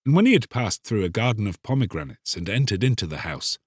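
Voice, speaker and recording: Man, audiobook narrator, husky, widely varied intonation, solemn, theatrical, dry close sound. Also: lowish voice, very animated, fast talker, no echo. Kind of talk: dramatic literary storytelling, scripted narration.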